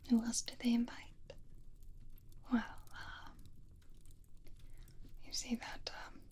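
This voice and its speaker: A woman voicing a flirty, gossiping vampire in an ASMR roleplay, whispering softly and confidingly with hesitant pauses. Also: a little high, monotone, heavily acted. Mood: gossipy. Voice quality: soft breathy whisper.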